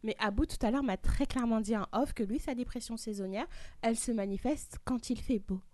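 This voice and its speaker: A woman's voice: on the high side, fairly quick, mellow. A woman hosting a group talk, explaining terms step by step with everyday examples. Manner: didactic, walks through definitions with examples. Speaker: host. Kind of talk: explaining to a panel.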